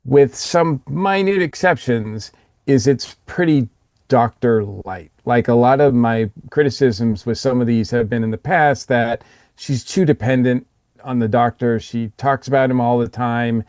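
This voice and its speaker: A man co-hosting a podcast, giving a casual review in a halting, thoughtful way. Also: mid-range voice, darkish tone, no echo, clean studio sound. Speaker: podcast co-host.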